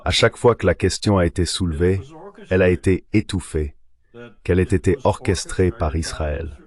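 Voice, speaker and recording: Low-pitched synthetic male commentator. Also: normal speed. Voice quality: synthetic AI dubbing voice. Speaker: political commentator. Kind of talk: translated voice-over of political discussion.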